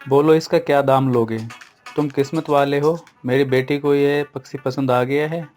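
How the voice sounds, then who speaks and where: Storybook tycoon father, acted by a man: self-important, bargaining, medium-pitched, indulgent, faint music bed. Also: fairly even intonation, normal speed, a little mellow, close to an everyday voice. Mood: proud, pressing. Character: wealthy businessman father, proud, pushy, indulgent to daughter.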